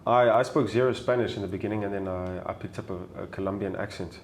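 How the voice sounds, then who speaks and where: Laid-back South African man, lowish voice. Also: quick pace, a little hiss or hum. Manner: relaxed, loose and slangy, often trailing off softly. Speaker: podcast guest. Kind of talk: casual chat.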